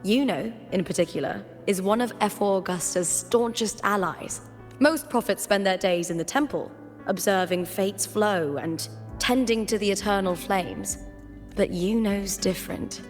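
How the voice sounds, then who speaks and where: A woman voicing a frank, confiding young female gladiator in a video game, explaining things in a measured, storylike way. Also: mid-range voice, expressive, long reverb tail, faint music behind, close to an everyday voice. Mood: grave, informative.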